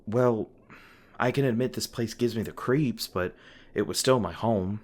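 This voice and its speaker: A man playing a weary, guarded monster hunter in an audio roleplay, gruff but caring, with halting, sardonic, sweary speech. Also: lowish voice, darkish tone. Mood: defensive, annoyed. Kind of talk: audio roleplay, one-sided dialogue to the listener. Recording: noisy ambient sound effects behind voice. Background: ambient sound effects.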